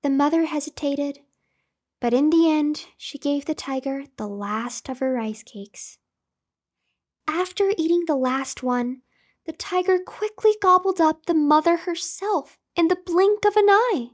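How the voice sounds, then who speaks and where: A woman storyteller with a high voice reads a children's bedtime tale. She goes very slowly and enunciates with care and expression. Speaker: storyteller and host.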